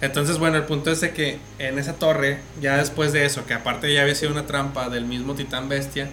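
A man's voice: medium pitch, level tone, a little fast, sharp tone, slight background noise. A man with a Mexican accent chatting casually and explaining things at length, often restarting his sentences. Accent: Mexican Spanish. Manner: explanatory, stumbles and restarts while building a point. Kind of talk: casual chat about an anime series.

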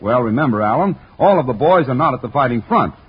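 An actor playing a friendly, earnest druggist in a husky voice, explaining things patiently in 1940s radio sales style. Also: male voice, medium pitch, lively intonation, quick pace. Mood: earnest, reassuring. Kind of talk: 1940s radio comedy and advertising. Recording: dull 1940s radio broadcast.

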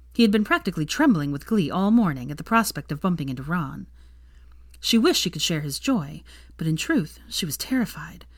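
A woman reading fan fiction aloud as expressive, scripted story narration. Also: lowish voice, no echo, clean studio sound.

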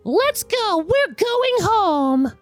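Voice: extremely high pitch, big pitch swings, drawn-out words, faint music behind, pitched above the performer's own voice, full character voice. A narrator voicing an indignant, sneering entitled mother in a dramatized online story. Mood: huffy. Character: scoffing entitled mom, shrill and mocking.

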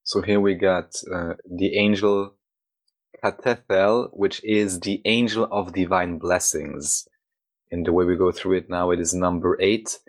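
A man's voice: low voice, fairly even intonation, unhurried. A man co-hosting a podcast, delivering scripted introductions and readings aloud. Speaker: podcast co-host.

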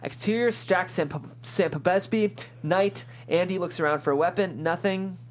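A woman reading screenplay stage directions as lively action narration, punching out the dramatic effect words. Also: fairly low pitch, normal speed, slight background noise.

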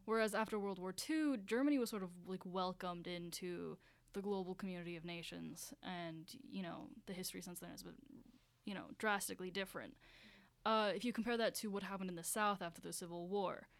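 Gravelly-voiced woman explaining a point. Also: lowish voice, a little fast, no echo. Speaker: discussion participant. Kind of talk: casual group discussion.

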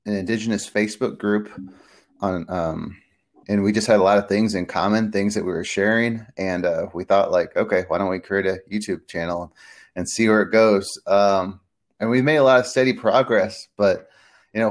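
Gravelly low-voiced male podcast guest. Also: moderate pace. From Peru. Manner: earnest, talkative, many fillers. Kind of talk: casual interview chat.

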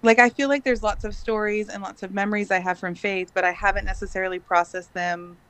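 A woman's voice: mid-range voice, steady tone, fairly quick, a bit bright, clean tone, no echo, poor audio. A woman in her late thirties who grew up in Bangladesh, speaking as a podcast guest in casual, chatty talk with frequent laughs and hesitant fillers. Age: late 30s. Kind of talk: casual interview chat.

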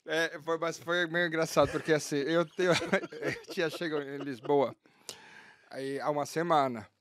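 Halting man telling a funny anecdote. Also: fairly high pitch, steady tone, fairly bright tone. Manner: halting, restarts, laughs as he tells it. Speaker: guest. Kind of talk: casual conversation, anecdote.

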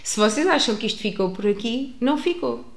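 Lively European Portuguese woman storyteller. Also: a little high, a little fast, vocal fry. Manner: expressive, acts out dialogue, frequent restarts. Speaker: speaker telling a personal travel story to an audience. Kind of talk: animated storytelling. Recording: roomy echo.

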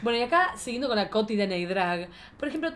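A woman in her forties with an Argentine accent, hosting a casual comic chat in an energetic manner. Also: fairly high pitch, fast, a little hiss or hum. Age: 40s. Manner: animated, self-described as very energetic. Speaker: podcast host.

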